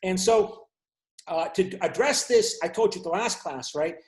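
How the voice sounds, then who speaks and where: A man's voice: sits high, animated, moderate pace, roomy sound. A man, a university lecturer, explaining at length in an online class and often checking in with his students. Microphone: video call.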